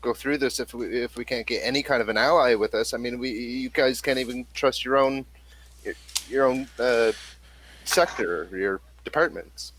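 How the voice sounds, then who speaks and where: Man as an agitated, halting starship crew hand. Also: mid-range voice, a bit bright, a little hiss or hum, close to an everyday voice. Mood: worried. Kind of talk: improvised tabletop role-play.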